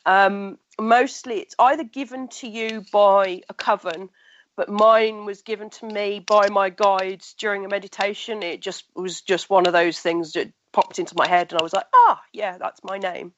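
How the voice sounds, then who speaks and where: A woman's voice: mid-range voice, fairly even intonation, moderate pace, dull audio, slight background noise. A woman guest with a blocked, nasal voice, chatting casually in an online radio interview.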